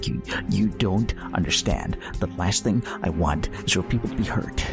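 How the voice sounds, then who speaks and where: A man playing a nervous, guilt-ridden scientist in a comic-book audio drama, halting and stammering as he talks. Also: deep voice, gravelly. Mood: anguished, guarded. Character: nervous, guilt-ridden male scientist, secretly from another world. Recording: loud music behind the voice. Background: loud music.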